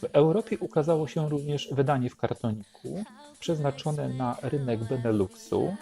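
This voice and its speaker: Mellow man with a creaky edge. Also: medium pitch, normal speed, soft background music. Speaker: host presenting a record collection. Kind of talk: unhurried show-and-tell narration.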